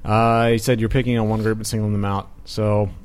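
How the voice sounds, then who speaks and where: A man's voice: fairly low pitch, little pitch movement, fairly quick. A man, hosting a casual podcast, reading news items aloud and then chatting about them with hesitant fillers.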